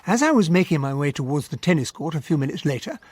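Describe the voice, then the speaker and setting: Radio drama lead: genial English officer, courteous, English accent, animated pitch movement. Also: male voice, quick pace, husky. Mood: reflective narration. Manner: polite, well-spoken. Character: genial, gentlemanly English army captain narrating his memories. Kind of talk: radio drama acting and first-person narration.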